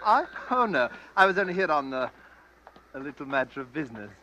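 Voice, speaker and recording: Jovial stranger, lively and bright. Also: male voice, on the high side, brisk. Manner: affable, chuckling, smoothly inquisitive. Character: jovial, smooth-talking, faintly sinister gentleman stranger. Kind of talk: old-time radio drama acting. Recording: faintly noisy old radio recording.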